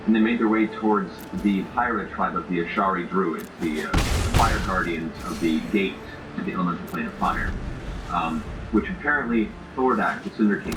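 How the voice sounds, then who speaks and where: A man narrating a tabletop game as game master, in a formal, florid storytelling way with pauses. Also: lowish voice. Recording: roomy distant mic, clearly noisy background.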